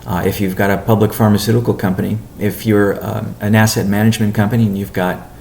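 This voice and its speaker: Guy ceo doing a conference call update, low voice w lots of vocal fry, careful and halting. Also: little pitch movement, darkish tone, slight background noise. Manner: halting, measured delivery with deliberate pauses. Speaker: company chief executive giving a weekly update. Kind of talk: business conference call presentation.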